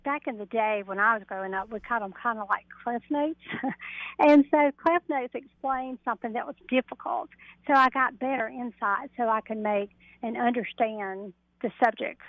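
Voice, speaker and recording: Female radio guest, middle-aged, higher-pitched voice, speaking over a thin telephone connection. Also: steady tone, normal speed, no room sound. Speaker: interview guest, mediator and coach. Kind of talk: radio interview, explaining. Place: phoned into a radio show. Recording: narrow telephone line.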